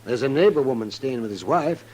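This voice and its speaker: A man's voice: mid-range voice, brisk, close to an everyday voice, rough recording. An American man playing a crusty, talkative frontier town doctor in an old-time radio western, with a folksy manner. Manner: animated, folksy. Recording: old radio recording with hum and hiss.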